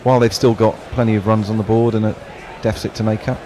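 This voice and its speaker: A man's voice: fairly low pitch, flat delivery, quick pace, crowd noise. A man on cricket radio, genially reading listener messages and bantering with co-hosts. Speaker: co-commentator reading listener tweets. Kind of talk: casual radio chat. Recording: faint background noise.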